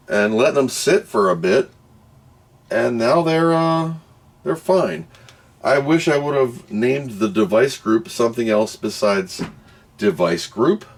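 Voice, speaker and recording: A man giving a casual, unscripted product review as host, thinking aloud and grumbling as he goes. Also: medium pitch. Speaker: host of a product test video.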